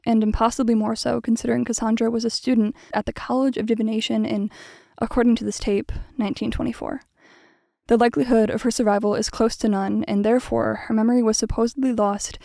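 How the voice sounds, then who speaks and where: Woman acting a creaky-voiced, even-toned archivist. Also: on the high side, no echo, clean studio sound. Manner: precise, measured, bookish. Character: meticulous, formal female archival investigator recording a report. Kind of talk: scripted audio drama narration.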